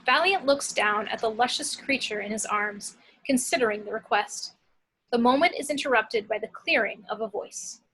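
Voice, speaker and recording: A woman's voice: on the high side, normal speed, a bit bright, poor audio. A woman reading action descriptions from a film script as scripted narration. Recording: clear background noise, home recording.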